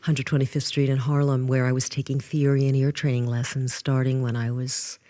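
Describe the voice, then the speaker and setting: An older woman from New with a low voice, telling lively stories as a guest in a casual interview. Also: fairly quick. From New York. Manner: animated storyteller, restarts often. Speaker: guest musician.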